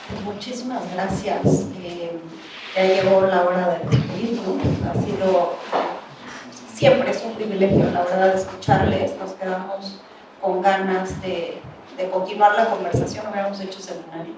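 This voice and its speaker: Woman moderator, polite wrap-up, mid pitch, distant in a booming room. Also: steady tone, a little slow, heavy background noise. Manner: polite, friendly. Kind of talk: closing remarks. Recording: echoey room, distant mic.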